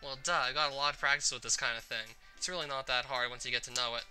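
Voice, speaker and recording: Man voicing a feisty, sharp-toned anime schoolgirl. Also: medium pitch, steady tone, fast, soft background music. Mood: smug.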